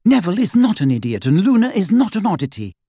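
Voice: medium pitch, big pitch swings, normal speed, a little mellow, husky, no room sound, clean studio sound, voice pushed up. A performer voicing an earnest, insistent teenage girl, a young witch who speaks politely and precisely, in an audiobook. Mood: indignant.

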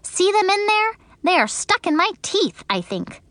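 A woman voicing an excitable, bossy first-grade girl who chatters in an eager, earnest way for an audiobook. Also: extremely high pitch, moderate pace, dry recording, voice pushed up, full character voice. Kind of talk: audiobook character voice.

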